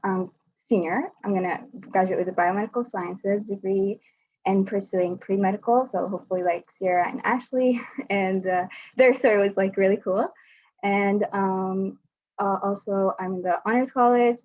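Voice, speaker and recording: A woman's voice: medium pitch, steady tone, normal speed. A young woman, a student volunteer, reading a children's picture book aloud over a video call, hesitant with fillers when chatting. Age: young adult. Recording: dull lo-fi video call audio.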